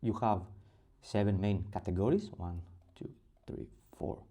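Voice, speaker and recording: A man with a Greek accent talks through a spreadsheet tutorial in a very dark, low voice. He speaks English as a second language, pausing often between short phrases with plenty of fillers. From Greece. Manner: halting, with many pauses and fillers. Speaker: template creator. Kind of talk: screen-recorded software tutorial.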